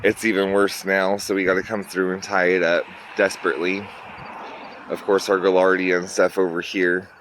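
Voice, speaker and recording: A man's voice: sits low, level tone, normal speed, fairly bright tone, low-quality recording. A man giving a casual walkthrough of his garden to viewers, pointing out plants as he goes and sounding a little congested, recorded outdoors on a handheld phone. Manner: chatty, pointing things out to viewers. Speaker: garden vlogger. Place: outdoors in a garden near a road. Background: faint traffic and outdoor ambience.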